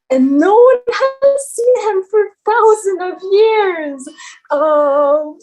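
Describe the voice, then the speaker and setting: A woman playing a wistful, gentle young male satyr, singing in an amateur musical read. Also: high voice, poor audio.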